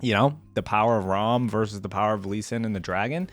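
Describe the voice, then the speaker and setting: A man's voice: fairly low pitch, quick pace. A man hosting a casual game reaction video, talking in an informal, slangy, effusive way. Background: music.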